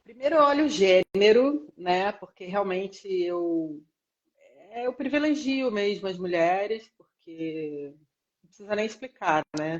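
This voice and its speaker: A middle-aged woman with a blended Rio and São Paulo accent speaks as a guest in a casual live conversation. She rambles a little and leans on tag questions, and the call audio sounds dull and muffled. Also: mid-range voice. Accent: Brazilian, mixed Rio and São Paulo. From Brazil, born in Rio and raised partly in São Paulo state. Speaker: interview guest, lawyer with own practice. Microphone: internet video call.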